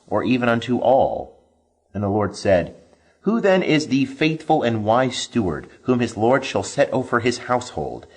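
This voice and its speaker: A man reading scripture aloud as solemn scripted narration, in a measured, declamatory way with emphatic phrasing. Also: mid-range voice, slightly rough. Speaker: Bible reader.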